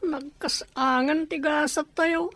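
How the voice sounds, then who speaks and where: A woman's voice: sits high, steady tone, slow, a bit bright, dry recording. A woman playing an anxious, dutiful younger sister in an Ilocano audio drama, earnest and plaintive, with an Ilocano accent. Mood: resigned, sad.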